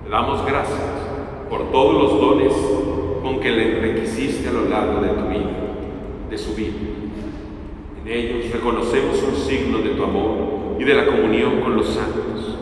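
A priest saying funeral mass prayers in a solemn, measured way, recorded from far off in a large church. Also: male voice, mid-range voice, very echoey, big-room sound, slight background noise. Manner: solemn measured prayer, turning lively and joking at the close. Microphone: distant phone camera in the pews.

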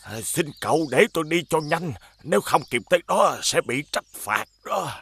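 A man voicing an elderly, fussy village gardener in fear of his master, in an animated fairy tale. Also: a little high, moderate pace, slightly rough, heavily acted. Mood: anxious, flustered. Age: older. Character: elderly village gardener, anxious servant of a tyrant.

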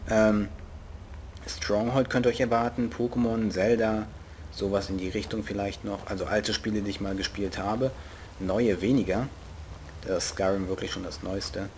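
A young man with a regional German dialect jokes and chats to himself while he plays. His voice sits fairly low, and faint game sound runs behind him. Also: fairly even intonation, moderate pace, darkish tone, slight background noise. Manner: joking, playful, talks to himself. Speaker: let's play host. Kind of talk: casual gameplay commentary.

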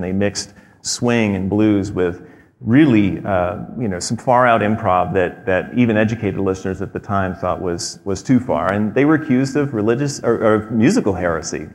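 A man in his thirties giving a prepared talk on a theater stage, measured and explanatory, with frequent fillers. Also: fairly low pitch. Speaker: presenter. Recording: long hall reverb.

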